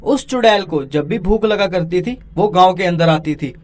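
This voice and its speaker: High-pitched male children's storyteller. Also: normal speed, a little mellow. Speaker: storyteller, narrator. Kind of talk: scripted children's story narration.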